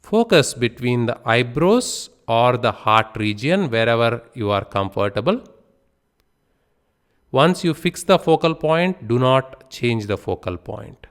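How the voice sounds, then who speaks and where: A man guiding a beginner meditation session in a calm, unhurried way. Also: medium pitch. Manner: slow, calm, unhurried guidance with pauses. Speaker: meditation instructor. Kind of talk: guided meditation instruction.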